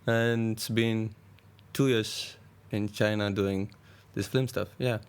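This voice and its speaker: A man in his twenties from India, a directing student, speaking in a brisk, matter-of-fact way. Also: lowish voice, steady tone, smooth. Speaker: film student.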